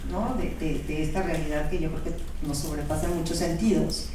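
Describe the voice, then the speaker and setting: A woman's voice: sits low, fairly quick, background noise. A woman speaking at a symposium panel, reflective and hesitant, full of fillers, restarts and tag questions.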